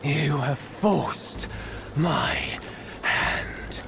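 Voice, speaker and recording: A man's voice: on the high side, creaky, noisy background, exaggerated voice. A man playing a solemn, cryptic guardian creature in a video game cutscene, speaking in archaic, theatrical phrases over music. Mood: menacing, solemn. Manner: archaic, slow, theatrical speech. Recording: loud music behind the voice.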